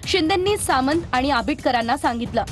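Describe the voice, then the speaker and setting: A woman reads scripted news narration in a very high-pitched voice. A faint music bed runs behind her voice-over. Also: animated, a little fast.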